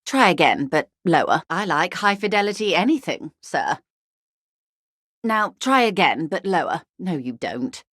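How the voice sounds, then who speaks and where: Wealthy female company negotiator, lowish voice, dry close recording, courteous, evasive, measured, video game dialogue. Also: big pitch swings, fairly bright tone, no room sound, exaggerated voice. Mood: wry, amused. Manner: formal, measured, politely guarded.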